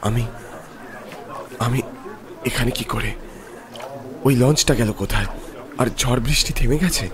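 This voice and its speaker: A man's voice: medium pitch, measured pace, long pauses, crowd noise, natural voice. A man voicing an earnest, protective hero in a horror story, urgent and emotional. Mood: bewildered. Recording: loud music behind.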